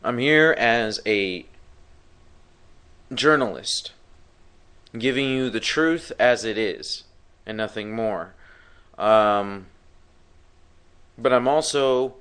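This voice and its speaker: A man's voice: medium pitch, takes it slowly, long pauses, a bit bright, clean tone. A man hosting a live talk show who has partly lost his voice, speaking in a rambling way with many fillers and restarts. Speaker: podcast host.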